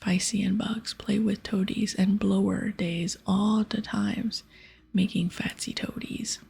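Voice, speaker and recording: A woman voicing a crude, hungry ogre hunter in a breathy whisper, with childlike broken grammar, for an ASMR game playthrough. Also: mid-range voice, little pitch movement, darkish tone, heavily acted. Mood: hungry, eager. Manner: broken baby-talk grammar, drawn-out words.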